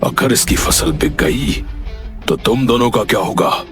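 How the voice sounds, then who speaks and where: A man voices an envious village landlord in a cartoon fable, slow and insinuating. His pitch moves about a lot as he needles people. Music runs underneath. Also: lively intonation, a bit bright. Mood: sly, goading. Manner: sly, goading. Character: scheming, envious village landlord with false sympathy. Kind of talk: Hindi moral story cartoon acting. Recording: music behind the voice.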